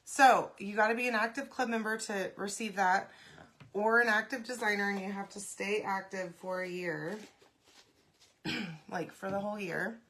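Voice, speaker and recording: A woman hosting a casual craft live stream, congested and often clearing her throat, chatting and greeting viewers by name. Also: medium pitch, fairly even intonation, normal speed, fairly bright tone.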